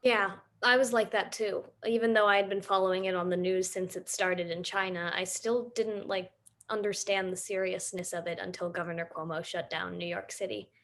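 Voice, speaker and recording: A young female student narrates her own documentary play, speaking as a storyteller in a scripted first-person monologue. Her voice sits at an ordinary middle pitch for a woman. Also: fairly even intonation, normal speed, fairly bright tone. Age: teens to young adult.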